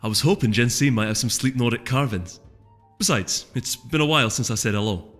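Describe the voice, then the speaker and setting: A man's voice: mid-range voice, brisk, a bit bright, lightly acted. A man voicing a bubbly, adventurous young female pony with a Scottish accent and an eager, chattering manner in a storybook reading. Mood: eager lecturing. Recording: long reverb with music underneath.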